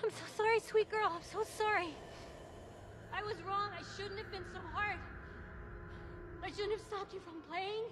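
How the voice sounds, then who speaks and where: A woman's voice: very high voice, steady tone, normal speed, long pauses, background noise. A frantic mother calling her children. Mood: tender. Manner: urgent, pleading, calling out. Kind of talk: film acting.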